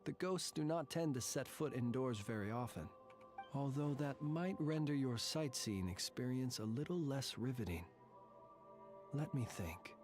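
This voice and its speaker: A male actor plays a grave, gracious tomb guardian from a fantasy video game. He speaks in a mid-pitched male voice with measured, ornate politeness. Faint game music runs behind him. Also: natural voice. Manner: formal, measured, ornate courteous speech. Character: solemn, courteous male guardian of haunted cemetery tombs. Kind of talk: video game character dialogue.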